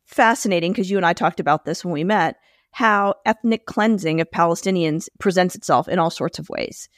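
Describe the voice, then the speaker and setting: A woman's voice: fairly low pitch, brisk, no echo, studio quality. Emphatic woman podcast host. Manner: forceful, emphatic, repeats points for punch. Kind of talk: impassioned opinion monologue and interview.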